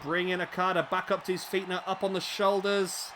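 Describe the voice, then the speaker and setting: A man's voice: high voice, fairly quick, noisy background. Man calling wrestling play-by-play. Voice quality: slightly raspy. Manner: excitable at big moves, chatty asides. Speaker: let's play commentator. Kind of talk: play-by-play game commentary.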